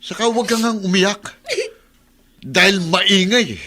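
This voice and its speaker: A man's voice: high-pitched, measured pace, sharp tone. A man playing a cold, scolding father in a Tagalog radio drama, curt and hard-edged, with a Filipino accent. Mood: angry, scolding. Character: cold, irritable, harsh father and master of the house.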